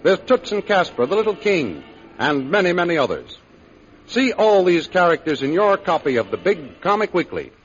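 Old timey radio announcer guy, showy and emphatic, kinda high voice, dull sound. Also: sing-song, moderate pace, a little hiss or hum. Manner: emphatic, showmanlike. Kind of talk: dramatic 1930s radio announcing. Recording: muffled 1930s radio recording. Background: music.

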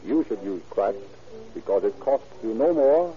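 A man with an American accent announces in formal 1930s radio style. His mid-range voice is deliberate and declamatory, on a lo-fi old recording with faint music. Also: mid-range voice, very animated.

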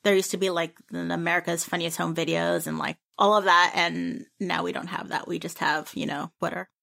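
A woman's voice: fairly low pitch, fairly even intonation, fairly quick, vocal fry, bright, forceful tone. A woman co-hosting a book podcast in casual chat, easygoing and agreeable with dry humor. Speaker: podcast co-host.

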